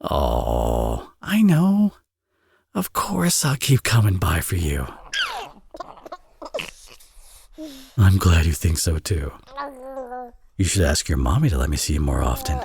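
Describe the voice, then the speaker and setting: A man's voice: rumbling low, sing-song, unhurried, gravelly, background noise, exaggerated voice. A man playing a teasing, flirtatious villain and doting father in an intimate romance audio roleplay. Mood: tender, doting. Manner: playful, smug, sarcastic teasing.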